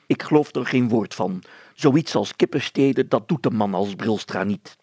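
A man's voice: fairly low pitch, animated, normal speed, darkish tone, husky, no echo. A man voicing a fussy, officious village mayor in a story, blustering and easily exasperated. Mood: dismissive.

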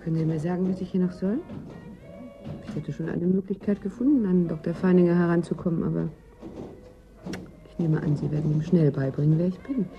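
A woman's voice: on the low side, steady tone, a little fast, long pauses, muffled recording, music in the background, natural voice. A woman playing a shrewd, self-assured professional on a covert assignment in a German radio drama, cool and businesslike. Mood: probing.

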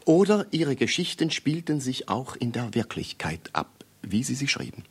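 An actor in a German radio play, playing an impoverished, pedantic amateur sleuth who speaks formally and deferentially in long, elaborate sentences. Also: male voice, mid-range voice, sing-song, normal speed, breathy edge. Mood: earnest, insistent.

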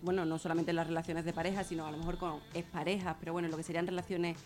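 A woman's voice: on the low side, little pitch movement, very fast, darkish tone, faint music behind. A woman with an accent from Spain explains things in a radio discussion, earnestly and with frequent rephrasing. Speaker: guest speaker on gender violence.

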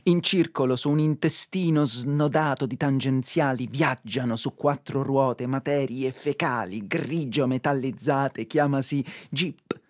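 A man's voice: mid-range voice, a little slow, few pauses, lightly acted. An actor playing a frantic young man of the suburbs on stage, declamatory. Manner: declamatory, rhetorical. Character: harried, frantic young everyman of the Roman suburbs. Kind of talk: theatrical satirical monologue and dialogue.

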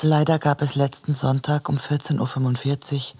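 A man reading literary prose for a radio drama in a measured, deliberate way. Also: mid-range voice, flat delivery, noisy background. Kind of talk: literary narration, radio play.

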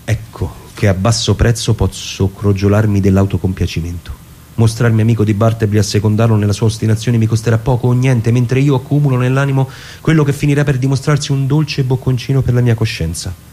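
A man's voice: mid-range voice, steady tone, fairly quick, warm tone, lightly acted. A man playing a fussy, indulgent lawyer in a radio monologue, reasoning aloud to himself. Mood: indulgent, self-satisfied. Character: fussy, self-satisfied male lawyer employer, puzzled and indulgent.

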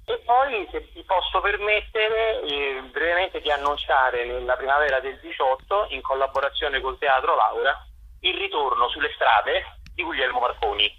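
A man giving a radio interview as a theatre director and actor, articulate and discursive, pausing to search for words. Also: mid-range voice, slightly rough, narrow, tinny audio. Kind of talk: radio interview, explaining and promoting work.

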